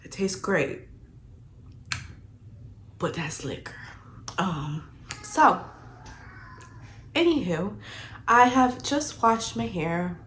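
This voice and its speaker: A woman's voice: mid-range voice, very animated, extremely slow, long pauses, a bit bright, slight background noise. A young woman with a congested, stuffy voice, chatting casually about her reading in a rambling vlog, full of "like". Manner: chatty, heavy use of filler "like". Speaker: book vlogger.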